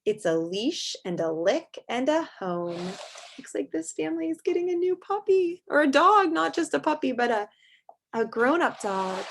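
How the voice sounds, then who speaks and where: A woman's voice: high-pitched, big pitch swings, normal speed, slight background noise. A woman teacher reading a picture book aloud to young children, expressively and in simple words, with chatty asides.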